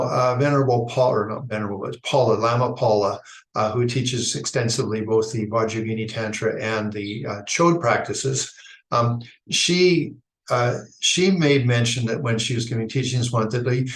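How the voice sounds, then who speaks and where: A man from Idaho giving an unscripted Buddhist teaching, talking in a thoughtful, halting way with many restarts. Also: mid-range voice. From Idaho, United States. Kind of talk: unscripted dharma talk. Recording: echoey room.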